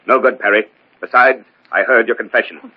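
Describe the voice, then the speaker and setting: An actor playing a tough, confident police detective in an old-time radio drama, blunt and matter-of-fact, in a muffled recording. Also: male voice, mid-range voice, brisk, lightly acted.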